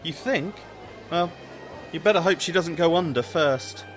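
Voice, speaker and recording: A man acting in a radio play as a sceptical, reasonable friend, hesitant and gently challenging. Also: on the high side, a little slow, over music, natural voice. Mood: doubtful. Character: sceptical, reasonable male friend of young shop girl.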